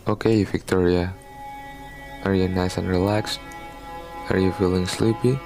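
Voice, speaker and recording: Low, gravelly male doctor. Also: little pitch movement, measured pace, darkish tone, soft background music. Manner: probing, coaxing questions. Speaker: doctor, researcher. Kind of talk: amateur radio drama acting.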